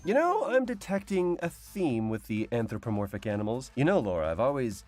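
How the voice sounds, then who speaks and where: A man's voice: medium pitch, sing-song, brisk, darkish tone, soft background music. A man co-hosting a video game showcase, presenting scripted comedy in a playful, theatrical, jokey way.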